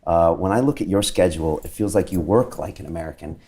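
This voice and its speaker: Male interview host with a very low voice, measured and steady, reading an intro then asking questions with plenty of "uh"s. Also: breathy edge. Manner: measured, steady delivery with frequent "uh" fillers.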